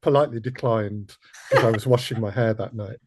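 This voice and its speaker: A man's voice: fairly low pitch, brisk, noisy background. A man hosting a podcast, interviewing guests in a conversational way.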